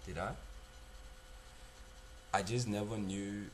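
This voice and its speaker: A young man playing a guilt-haunted narrator in a confessional dramatic monologue, halting, subdued and repeating himself. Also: on the low side, fairly even intonation, a little hiss or hum. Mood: guilty, troubled.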